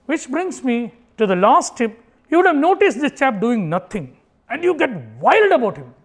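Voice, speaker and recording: A man's voice: extremely high pitch, moderate pace, a little hiss or hum. A man from Kerala with an Indian English accent, giving a conversational public talk to an audience. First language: Tamil. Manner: animated, acts out family dialogue in Tamil. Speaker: speaker giving a motivational talk. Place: auditorium.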